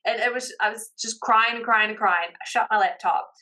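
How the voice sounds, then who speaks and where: Bright-voiced New Zealand woman coach. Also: a little high, a little fast. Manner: upbeat and encouraging. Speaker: guest, confidence coach. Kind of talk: casual podcast interview.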